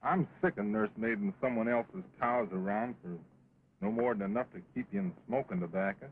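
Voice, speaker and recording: An actor playing a carefree, stubborn American cattle rustler in an early talkie western, with a folksy offhand drawl. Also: male voice, lowish voice, fairly quick, lightly acted. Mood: defiant, flippant. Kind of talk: early 1929 talkie western film acting. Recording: dull, noisy 1920s film soundtrack.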